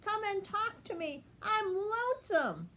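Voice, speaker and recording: A woman's voice: very high-pitched, very animated, measured pace, sharp tone, pitched above the performer's own voice, full character voice. A woman voicing a small, friendly female rabbit in a children's story, calling out pleadingly. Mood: pleading, lonesome.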